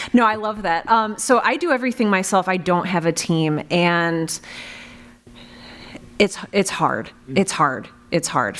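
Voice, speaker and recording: An animated woman who makes podcasts, chatting casually to a live panel audience, self-deprecating and quick to laugh. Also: lowish voice. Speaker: podcast creator and panel moderator.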